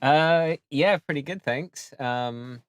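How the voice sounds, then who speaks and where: A man hosting a casual live stream chat, relaxed and hesitant with frequent ums. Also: a little high, slow pace, smooth, no echo. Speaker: host.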